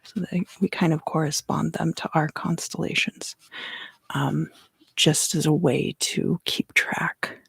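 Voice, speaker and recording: Female ASMR card reader, hushed and unhurried, low-pitched with creaky, breathy texture, frequent pauses. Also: steady tone, dry recording. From US East Coast South. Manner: soft, unhurried, pausing often. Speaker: tarot reader and ASMR creator. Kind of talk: whispered ASMR card reading.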